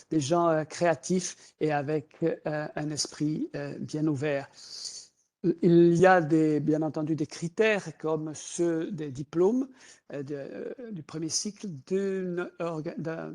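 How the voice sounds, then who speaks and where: A middle-aged man whose first language is Portuguese presents job openings in a webinar. His voice is gravelly and a little high, and he talks in a halting way, repeating words and filling pauses with "euh". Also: a little mellow. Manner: hesitant, many fillers and repeated words. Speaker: recruiter presenting job opportunities.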